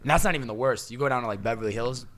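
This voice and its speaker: A young man hosting a casual podcast, upbeat and quick to laugh. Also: medium pitch, fairly bright tone, slight background noise. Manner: upbeat, laughs often, reads listener email aloud. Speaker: podcast host.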